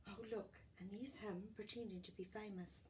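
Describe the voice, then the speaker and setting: A woman reading a picture book aloud to children, expressive and exclamatory, with chatty asides to her young listeners. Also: medium pitch, moderate pace, distant mic, a little hiss or hum, low-quality recording. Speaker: children's storyteller.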